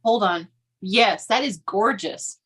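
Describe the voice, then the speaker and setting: A woman's voice: medium pitch, slow, a bit bright, husky. A woman in her fifties joining a sewing livestream, chatting and cheering on the host. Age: 50s. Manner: encouraging, laughs easily. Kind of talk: casual chat. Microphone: phone.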